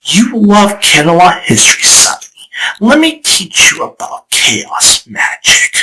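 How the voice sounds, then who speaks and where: A man's voice: high voice, wildly swooping pitch, sharp tone, a little hiss or hum, heavily acted, poor audio. A man voicing a scheming, theatrical male chaos spirit in a fan comic dub. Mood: theatrical menace. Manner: dramatic, teasing, slow and deliberate. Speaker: storyteller figure.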